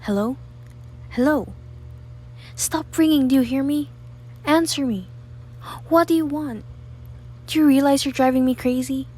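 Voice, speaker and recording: A woman's voice: a little slow, long pauses, darkish tone, slight background noise. A woman playing a nervous, demanding, self-pitying bedridden wife in a radio thriller, with a querulous, imperious manner. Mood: frantic, furious. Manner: querulous, imperious, highly strung. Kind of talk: old-time radio thriller acting.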